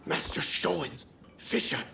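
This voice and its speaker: A man playing a solemn, devoted rebel follower in a video game, speaking in a reverent, drawn-out way. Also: fairly high pitch, big pitch swings, creaky, bright, forceful tone, heavily acted. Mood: fervent. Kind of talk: video game cutscene acting. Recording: faint ambient noise behind.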